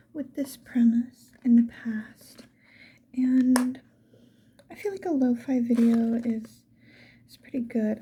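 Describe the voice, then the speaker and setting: A woman doing casual ASMR, whispering softly and musing to the viewer in an unhurried get-ready-with-me chat. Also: a little high. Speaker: ASMR video creator. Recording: muffled lo-fi with background hiss.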